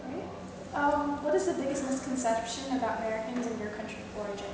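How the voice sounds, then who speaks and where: A woman's voice: a little high, quick pace, recorded from a distance. A woman interviewing a guest, reading prepared questions in a formal way. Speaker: student interviewer. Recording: echoey room, voice from afar, faint noise.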